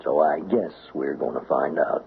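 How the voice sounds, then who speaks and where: An actor playing a blunt, probing sheriff in a 1970s radio drama. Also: male voice, very deep voice, moderate pace, creaky. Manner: blunt, questioning. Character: plain-spoken, probing male small-town sheriff. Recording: tinny, slightly noisy old radio broadcast.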